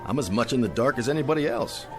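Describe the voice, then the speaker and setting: An actor playing a tipsy, good-hearted, bewildered store Santa with florid, theatrical phrasing in radio drama style. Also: male voice, mid-range voice, lively intonation, fast, music in the background, natural voice. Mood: merry, bewildered.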